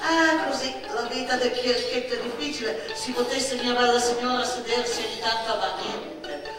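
A woman voicing a pompous, self-important young altar boy in a stage monologue, fussy and halting, with a northern Italian accent. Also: on the high side, lightly acted. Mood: fussy, aggrieved. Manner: fussy, dignified, halting interjections. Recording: echoey hall, distant mic, music behind.

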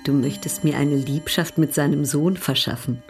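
An actress playing a worldly, amused baroness in a radio drama, ironic and drawling. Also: female voice, low voice, steady tone, normal speed, faint music behind. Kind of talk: radio drama dialogue, period literary adaptation.

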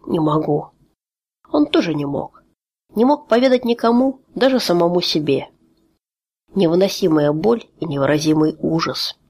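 A woman reading a fantasy audiobook aloud in a steady, expressive way. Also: mid-range voice, husky. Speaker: audiobook reader.

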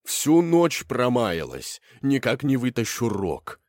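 A man's voice: mid-range voice, very animated, slow pace, darkish tone, no echo, studio quality, voice pushed up. A man voicing a trusting, slightly grumbling mother cow in a fairy tale, with plain, homely replies. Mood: complaining. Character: slow good-natured mother cow, trusting, grumbling a little.

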